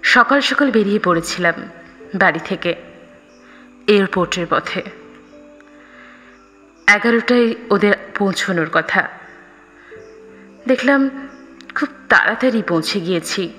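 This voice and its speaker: A woman reading a scripted first-person story expressively, with dramatic pauses. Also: mid-range voice, animated, fairly bright tone. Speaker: audio story narrator. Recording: reverberant, music underneath. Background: background music.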